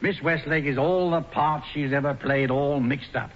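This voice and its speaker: An actor playing a long-suffering working-class manager, dryly droll and deferential, in a 1940s radio comedy. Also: male voice, fairly high pitch, moderate pace, lo-fi sound, close to an everyday voice. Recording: noisy, band-limited 1940s radio recording.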